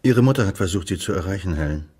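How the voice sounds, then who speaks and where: An actor playing an earnest, concerned male investigator in a German radio crime drama, with a gravelly, husky voice. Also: low voice, expressive, quick pace, mellow.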